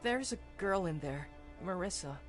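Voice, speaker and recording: A woman's voice: fairly low pitch, lively intonation, moderate pace, faint music behind, natural voice. A woman voicing a timid, flustered young man in a mystery visual novel, with a hesitant manner. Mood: uneasy.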